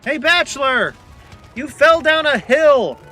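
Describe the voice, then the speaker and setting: A man playing an excitable, gushing male sidekick in a comic audio drama. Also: very high-pitched, slow, soft background music, heavily acted. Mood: excited. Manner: gushing, exclamatory.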